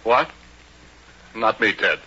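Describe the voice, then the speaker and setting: An actor playing a rough working-class oil rigger who tells an eerie tale in a halting, colloquial way, in old radio drama style. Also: male voice, fairly low pitch, expressive, pushed and bright, exaggerated voice. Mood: defensive, agitated. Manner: colloquial, haunted, halting storyteller. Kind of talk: 1940s radio drama narration and acting. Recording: muffled old radio broadcast with music.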